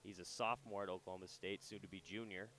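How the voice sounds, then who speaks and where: A young adult man doing casual radio baseball commentary and play-by-play from a ballpark booth. He talks in a relaxed, chatty way with loose fillers. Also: deep voice, fast talker.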